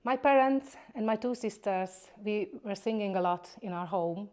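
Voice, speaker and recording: A woman's voice: medium pitch, warm tone. Hungarian-accented woman introducing herself. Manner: measured, plain and a little hesitant. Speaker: singing teacher. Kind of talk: personal introduction.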